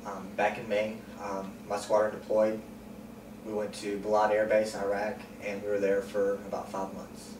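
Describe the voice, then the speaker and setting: A man in his twenties answering interview questions calmly and plainly, with frequent ums. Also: lowish voice, moderate pace. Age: 20s. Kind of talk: sit-down interview. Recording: roomy, distant mic, noisy.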